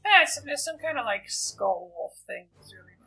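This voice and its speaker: A woman's voice: high voice, sing-song, brisk, pushed and bright, soft background music. A woman player in a tabletop game, casually recapping the last session in a rambling, hesitant way. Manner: rambling, hesitant, many fillers.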